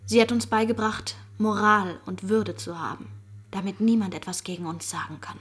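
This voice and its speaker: Husky, defiant young woman, played by an actress. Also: mid-range voice, fairly even intonation, moderate pace, lightly acted. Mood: rigid, resentful. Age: young adult. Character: defiant, morally rigid young woman loyal to her fiancé. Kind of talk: German radio crime drama acting.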